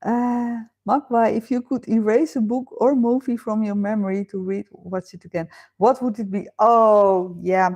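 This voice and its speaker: A woman's voice: mid-range voice, steady tone, moderate pace, hardly any pauses, a little mellow. A woman with a Dutch accent hosting a casual live chat from home, reading out viewer comments and chatting with many fillers and laughs. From Netherlands. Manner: chatty, laughs often, thinks aloud with fillers. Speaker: livestream host.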